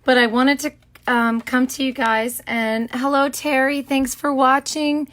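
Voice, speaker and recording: Hoarse middle-aged woman, chatty craft livestream host at her desk, loose and rambling with lots of ums. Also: high-pitched, flat delivery, a little slow, a bit bright. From Florida. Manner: chatty, greets viewers by name, many ums. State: hoarse, sore throat. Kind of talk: casual livestream demo. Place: home craft studio.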